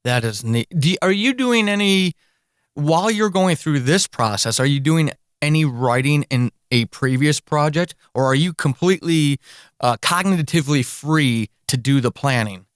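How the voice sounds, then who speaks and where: A man with a slightly high voice hosts a casual interview. He talks informally and interrupts himself with asides, recorded dry with very little room sound. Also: lively intonation, moderate pace, clean tone, dry recording. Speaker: podcast host, interviewer.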